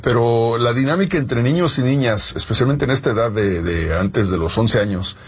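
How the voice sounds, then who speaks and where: A man from Mexico, co-host of a sports talk show, explaining youth soccer in an animated way. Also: mid-range voice, moderate pace, husky.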